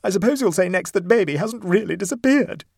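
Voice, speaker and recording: A man voicing a distraught young mother in a storybook reading, shrill, tearful and indignant, wailing and sobbing as the words come out. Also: very animated, moderate pace, dry recording, studio quality, full character voice. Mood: tearful indignation. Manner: sobbing, high-pressure wailing.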